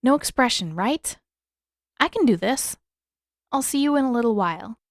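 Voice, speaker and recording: A woman's voice: fairly high pitch, expressive, moderate pace, no echo, studio quality, voice pushed up. A woman voicing an earnest, nervous young boy hero who speaks hesitantly and politely in a story reading. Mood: reluctant resolve. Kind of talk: fan fiction audiobook character voice.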